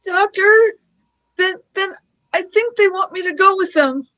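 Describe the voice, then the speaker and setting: A woman's voice: very high voice, measured pace, bright, forceful tone, heavily acted, low-quality recording. A woman playing a frightened young girl companion, anxious and pleading, in a radio play. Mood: scared. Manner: anxious, urgent exclamations.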